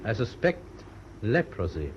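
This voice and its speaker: An actor in a radio drama playing a grave, dutiful ship's doctor, formal and measured, deferential but firm. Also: male voice, fairly low pitch, sing-song. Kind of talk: British radio drama acting. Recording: dull old radio recording, faint noise.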